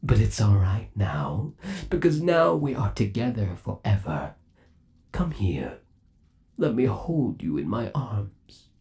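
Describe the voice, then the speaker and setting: A man's voice: on the low side, big pitch swings, takes it slowly, gravelly, dark tone, heavily acted. A man playing an undead animatronic boyfriend in an ASMR roleplay, coaxing and theatrical, lingering on endearments with eerie, possessive devotion. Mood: tender, reassuring. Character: undead animatronic boyfriend, obsessively devoted, eerily tender yandere.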